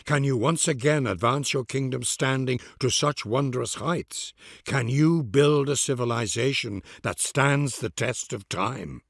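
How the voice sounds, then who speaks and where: A man's voice: medium pitch, unhurried, creaky, a bit bright, dry recording, clean studio sound. A man reading scripted grand historical narration for a video game in a solemn, formal, stately manner.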